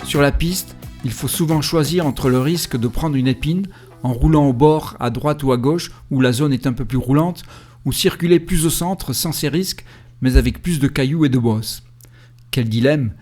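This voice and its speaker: A man at an ordinary pitch narrates his travels in a calm, reflective voice-over. Faint music plays softly behind him. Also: moderate pace. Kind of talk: first-person travel narration. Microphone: voice-over recorded separately.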